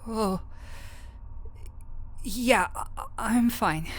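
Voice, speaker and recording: A woman playing a gentle, desperate doctor in a fantasy audio roleplay, with halting, emotive, breathy delivery. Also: on the high side. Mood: relieved, weary. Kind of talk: dramatic audio roleplay acting.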